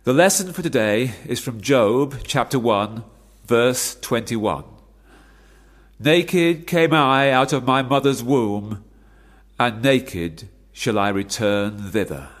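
Slow, solemn old clergyman in mourning. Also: mid-range voice, lively intonation. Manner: measured, preacherly, formal. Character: grieving elderly clergyman father, solemn and devout. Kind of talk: radio play acting, funeral sermon.

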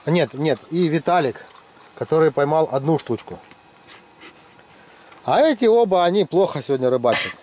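Joking man with a lively, high voice. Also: normal speed, long pauses. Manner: joking, teasing friends, lively. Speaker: fishing vlogger. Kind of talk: casual commentary. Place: ice-fishing tent on a frozen lake.

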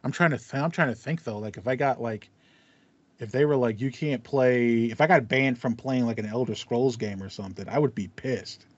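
A man's voice: mid-range voice, moderate pace, breathy edge, no room sound, dull audio. A man in his thirties co-hosting a casual gaming podcast, often repeating words as he thinks aloud. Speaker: podcast co-host. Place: small room with acoustic foam.

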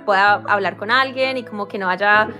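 A young woman from Colombia with a Colombian accent, hosting a dating stream and chatting in a relaxed way, sometimes searching for words. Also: mid-range voice, normal speed, faint music behind. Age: young adult. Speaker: dating show host. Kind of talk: casual chat. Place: bedroom streaming setup. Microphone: desk mic on boom.

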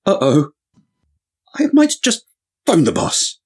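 A man's voice: sits high, huge pitch swings, slow, slightly rough, heavily acted. A man playing a nervous, naive young waiter in an audiobook drama, awkward, hesitant and over-polite. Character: ingenuous, nervous, lanky young male waiter. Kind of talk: full-cast audiobook acting.

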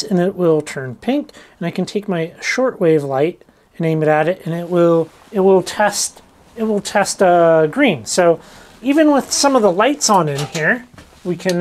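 A man in his thirties gives an informal, self-deprecating explainer as a channel host, talking to camera. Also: high-pitched. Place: cluttered indoor workshop. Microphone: lavalier clipped on shirt.

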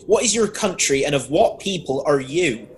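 A man's voice: fairly high pitch, lively intonation, moderate pace, close to an everyday voice. A man playing an anxious sailor in a dramatised scripture reading. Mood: questioning. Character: anxious sailor questioning and pleading. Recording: noisy video-call audio.

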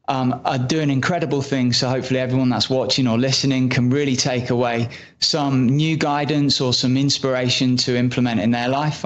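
A man in his thirties hosting an interview podcast, speaking with enthusiasm and slowing down for his introductions. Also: mid-range voice. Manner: enthusiastic, slow and deliberate intros. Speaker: podcast host. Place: studio table in a coworking space. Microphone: boom mic on the table. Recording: dull, muffled sound.

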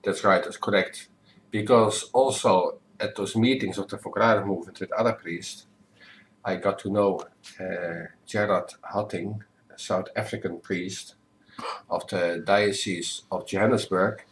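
Low-voiced older man, measured. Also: fairly even intonation, a little hiss or hum. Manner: careful, measured, with self-corrections. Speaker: priest, guest. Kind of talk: relaxed interview answers. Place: home living room. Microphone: webcam at desk.

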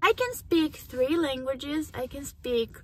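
Teenage girl, Brazilian Portuguese accent, slightly high voice, slow and searching for words, recorded in a car. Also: expressive, a bit bright. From Japan. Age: teens. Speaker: vlogger. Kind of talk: casual chat to camera. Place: parked car, indoors. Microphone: phone camera on dashboard.

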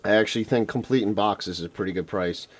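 Hoarse man rambling through a haul. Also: on the low side, fast. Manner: rambling, filler-heavy, enthusiastic. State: losing his voice, throat clearing. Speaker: retro game collector vlogger. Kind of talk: casual unboxing vlog. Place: indoors at a table. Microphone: camera mic off-screen, hands on table.

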